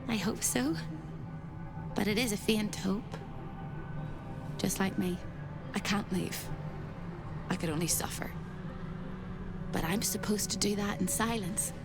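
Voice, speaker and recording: A woman's voice: mid-range voice, a little slow, pauses often, natural voice. An actress voicing a tormented, half-mad female spirit in a video game, fragile and eerie, speaking in theatrical riddles. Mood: mournful. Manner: theatrical riddles, shifting between hushed pleading and singsong. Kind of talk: video game character acting. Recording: loud game music behind the voice.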